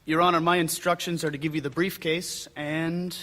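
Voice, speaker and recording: An actor playing a dutiful navy lieutenant who answers formally and briefly on the stand. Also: male voice, a little high, level tone, normal speed, pushed and bright. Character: crisp, dutiful young male naval officer.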